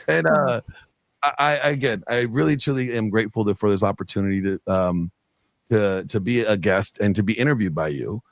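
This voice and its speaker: A man's voice: on the low side, moderate pace, no room sound. A middle-aged man speaking earnestly as a guest on a video call interview, rambling with many fillers. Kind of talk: casual interview chat.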